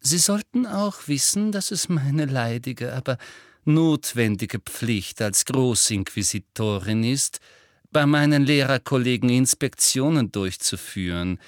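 A man voicing a prim, falsely sweet middle-aged female official in an audiobook, slow, officious and condescending. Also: mid-range voice, expressive, no echo, studio quality, close to an everyday voice. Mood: cold, officious. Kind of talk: audiobook character voice.

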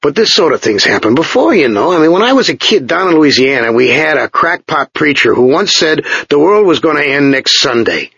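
A man playing a glum, homesick engineer who grumbles his lines flatly and without surprise. The tone is a little husky, and the recording is dull, lo-fi and dry. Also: fairly high pitch, brisk, few pauses, no room sound, pitched above the performer's own voice, exaggerated voice. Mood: weary. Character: weary, morose male engineer far from home.

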